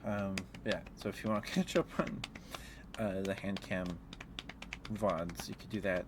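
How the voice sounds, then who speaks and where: A man chatting casually on an ASMR livestream as the host, in a hesitant, halting, chuckly and apologetic way. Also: low-pitched, fairly even intonation, background noise. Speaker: live streamer hosting an ASMR stream.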